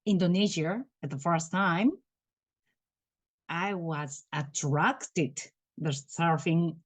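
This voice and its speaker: A Japanese woman in her thirties with a Japanese accent, speaking halting English with soft laughs as a guest on a video call. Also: on the low side, no room sound. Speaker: guest, tour guide. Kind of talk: casual interview over video call.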